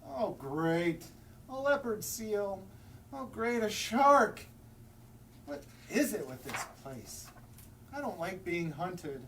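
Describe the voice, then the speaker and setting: Man playing a whiny, grumpy penguin, animated pitch. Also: takes it slowly, heavily acted. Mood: grumpy, complaining. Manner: exasperated, peevish complaining. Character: grumpy, whiny young male penguin complaining. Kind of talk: picture-book read-aloud for children. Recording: distant mic, faint background noise.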